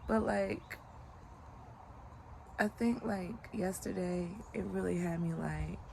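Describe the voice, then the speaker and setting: A woman in her late twenties talks slowly and easily in a casual vlog, her voice gravelly with fry. She rambles reflectively with lots of fillers, recorded outdoors on a sunny morning with clear outdoor ambience behind her. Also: on the low side, slight background noise. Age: late 20s. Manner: relaxed, rambling, many "like" fillers. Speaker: vlogger.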